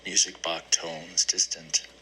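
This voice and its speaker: Deep, slow man reading stage directions. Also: little pitch movement, sharp tone, slight background noise, rough recording. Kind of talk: scripted play narration.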